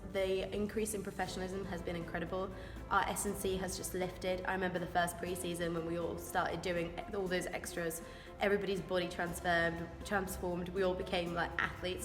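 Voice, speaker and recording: A rugby player in her twenties from Wales, answering interview questions in a chatty, eager, informal way. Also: on the low side. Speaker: rugby scrum-half. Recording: echoey room with long reverb, music behind.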